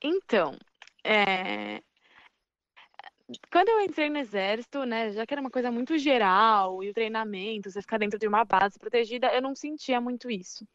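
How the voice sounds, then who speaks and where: A woman's voice: fairly high pitch, big pitch swings, moderate pace, a bit bright, clean tone, no echo. Hesitant woman with muffled, lo-fi sound. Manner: searching for words, hesitant. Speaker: guest, soldier. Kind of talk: casual conversational interview.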